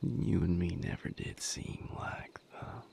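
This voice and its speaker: A man playing a wry, tender vampire boyfriend in an intimate audio roleplay, with a breathy, gravelly voice and a folksy, unhurried, softly teasing way of talking. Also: sits low, whispered, full character voice. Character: wry, tender male vampire boyfriend tending injured partner.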